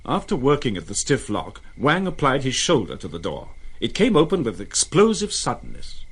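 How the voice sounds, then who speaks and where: A man's voice: mid-range voice, huge pitch swings, moderate pace, slightly rough. A man narrating a literary story in the manner of a dramatised audiobook.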